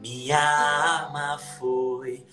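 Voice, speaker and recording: A man's voice: on the high side, flat delivery, extremely slow, roomy sound. Young man preaching and singing over guitar. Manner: fervent, slips into song. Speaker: gospel singer and preacher on a live stream. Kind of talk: preaching and singing on a live video call. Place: small room indoors. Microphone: phone camera at arm's length.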